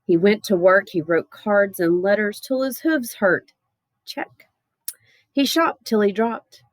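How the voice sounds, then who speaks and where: A woman reading a children's picture book aloud in a playful, expressive way with theatrical emphasis. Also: mid-range voice, slow, no room sound. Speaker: storybook reader.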